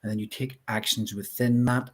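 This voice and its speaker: A man's voice: medium pitch, steady tone, normal speed, a bit bright. A man with a Belfast accent, a life coach guest explaining his ideas earnestly, with many fillers. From Northern Ireland. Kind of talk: interview chat.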